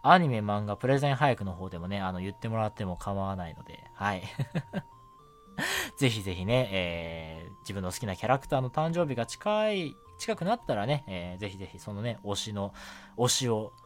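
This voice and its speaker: Animated man chatting on a radio show. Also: lowish voice, normal speed, faint music behind. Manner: chatty, rambling, self-interrupting with chuckles. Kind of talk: casual solo radio chat about anime.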